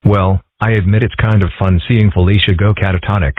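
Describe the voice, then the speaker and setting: A man voicing a calm, philosophical young fox boy in a comic strip dub, deadpan, measured and wry. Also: deep voice, no room sound. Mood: calm, musing. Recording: muffled, phone-like narrow audio.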